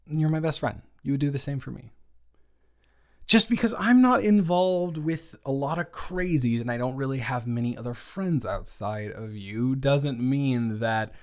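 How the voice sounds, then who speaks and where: A man's voice: mid-range voice, close to an everyday voice. Rambling, teasing best friend, a man plays him. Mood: earnest. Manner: rambling, teasing, self-correcting. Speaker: audio roleplay creator. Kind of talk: improvised audio roleplay.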